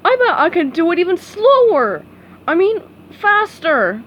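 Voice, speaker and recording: A woman doing a slow, dopey starfish. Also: very high-pitched, big pitch swings, highs cut off, a little hiss or hum, voice pushed up, heavily acted. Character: dim, slow, sweet male starfish.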